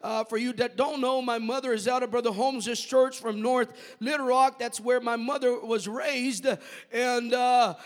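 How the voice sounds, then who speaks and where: A man, his Portuguese, preaches a fiery missionary testimony, shouting out hallelujahs. His words ring out with a long reverb through a church sanctuary. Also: very high-pitched, fairly even intonation, unhurried. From Brazil. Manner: fervent, calls out hallelujahs, shouts at peaks. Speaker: missionary pastor.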